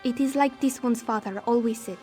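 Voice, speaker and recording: A woman's voice: on the high side, fairly quick, dark tone, faint music behind, close to an everyday voice. A woman voicing a roguish but principled cat-folk smuggler in a fantasy video game, self-assured and speaking of herself in third person. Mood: wry, assured.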